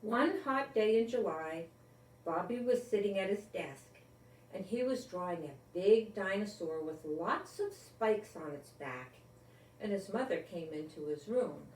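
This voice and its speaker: Older female storyteller for children's book read-aloud; slow, deliberate, expressive emphasis; echoey, distant sound. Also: mid-range voice. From Rhode Island, United States. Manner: deliberate, expressive, with repeated emphasis on key words. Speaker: grandmother storyteller. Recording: roomy, echoey, distant from the mic.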